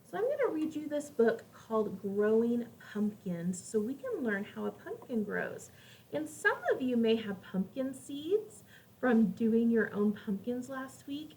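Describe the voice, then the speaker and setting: A woman's voice: fairly high pitch, mellow. A woman teacher reading aloud to young children, explaining things in simple words and putting questions to her listeners. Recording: distant microphone with faint background noise.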